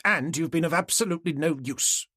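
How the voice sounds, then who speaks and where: A man's voice: medium pitch, animated, a little fast, fairly bright tone, breathy edge, dry recording, studio quality, voice pushed up. A man voicing an indignant, irritable middle-aged British neighbour in an audiobook, with a clipped, pompous manner. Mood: exasperated.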